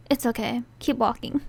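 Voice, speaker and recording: A woman's voice: sits high, creaky. A young woman from the United States, whose first language is Thai, narrating her own story in a chatty, self-deprecating way. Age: young adult.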